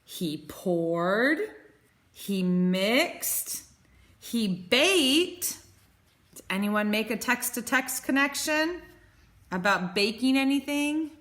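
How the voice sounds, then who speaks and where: Expressive, high-pitched woman reading to children. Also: extremely slow. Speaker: teacher reading to young pupils. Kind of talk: picture-book read-aloud with explanations for children.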